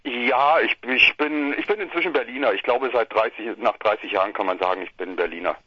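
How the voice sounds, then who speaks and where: A man's voice: mid-range voice, a little fast, breathy edge, no echo. A middle-aged man from southern Germany, a musician chatting casually in a phone interview and rambling with many restarts. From Bavaria/Swabia, Germany. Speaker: musician guest. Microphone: phone line. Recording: muffled phone line, dry.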